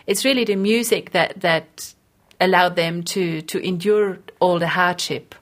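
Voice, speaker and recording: A woman interviewed as a researcher, speaking in a slightly low voice. She explains things thoughtfully, often circling back over a phrase as she builds a point. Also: a little slow.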